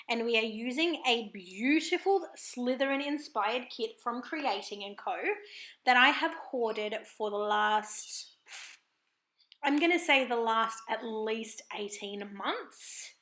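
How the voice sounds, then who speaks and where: A hoarse Australian woman rambles through a relaxed vlog voiceover, her higher-pitched voice bright and edgy despite the croak. Her husky tone rings around an echoey room at home. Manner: chatty, rambling, apologetic. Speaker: planner vlogger. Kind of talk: casual voiceover chat.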